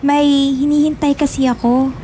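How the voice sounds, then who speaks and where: A woman acting an obsessive, needy young woman in a Filipino radio drama, pleading and halting in manner. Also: high-pitched, little pitch movement, mellow, slight background noise, close to an everyday voice. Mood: feigned sweetness. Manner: pleading, halting when evasive.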